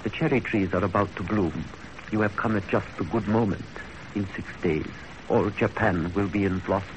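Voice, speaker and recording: Man playing kindly old japanese gentleman, lowish voice, formal, noisy old 1930s radio play. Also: normal speed, lightly acted. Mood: gracious, calm. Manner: courteous, formal. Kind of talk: 1930s radio drama acting.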